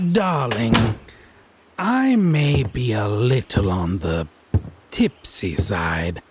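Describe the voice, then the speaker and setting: Man playing a slow-drawling, smug tipsy fae. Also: medium pitch, slight background noise, full character voice. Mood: tipsy, playful. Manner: drawling, mocking, sardonic, pet names. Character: smug, tipsy male fae, teasing and condescending lover. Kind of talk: slow theatrical audio roleplay monologue addressed to listener.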